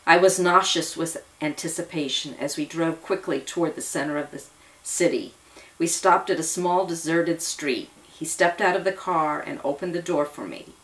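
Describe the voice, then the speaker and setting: Woman with a lowish voice reading out a dramatic story, storyteller style. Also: normal speed, fairly bright tone, rough recording. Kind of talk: dramatic first-person story narration.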